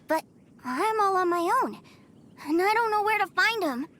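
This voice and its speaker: A woman's voice: very high-pitched, expressive, moderate pace. A woman voicing a cheerful, stubborn little boy in a game cutscene, talking in an eager, sing-song way. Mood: earnest. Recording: game cutscene with loud music. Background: game music.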